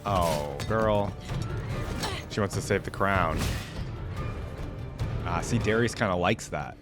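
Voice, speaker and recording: Low-voiced man giving casual reaction commentary. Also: takes it slowly. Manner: informal, slangy, musing aloud. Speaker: reaction video host. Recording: faint music behind the voice.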